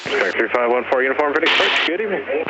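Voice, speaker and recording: A man's voice: mid-range voice, animated, rapid-fire. A man working as an air traffic controller, giving clipped instructions over aviation radio. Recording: muffled aviation radio under loud music.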